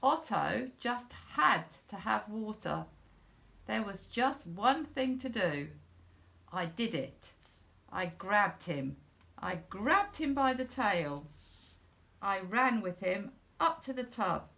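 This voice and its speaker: A middle-aged woman reading a children's storybook aloud in a deliberate, expressive way for young listeners. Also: mid-range voice, gravelly. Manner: slow, deliberate, simple phrasing for young children. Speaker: school storyteller.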